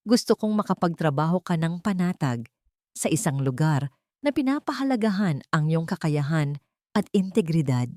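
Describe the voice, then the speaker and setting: A woman voicing an earnest, gentle young wealthy man, remorseful and in love, in an audiobook drama. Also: low voice, lively intonation, normal speed, no room sound, studio quality. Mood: earnest, reassuring.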